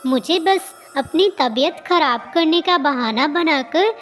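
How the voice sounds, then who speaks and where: A woman's voice: high-pitched, expressive, slow pace, a bit bright, faint music behind, heavily acted. A woman voicing a small, earnest female bird who explains herself in a cartoon story. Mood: confessing.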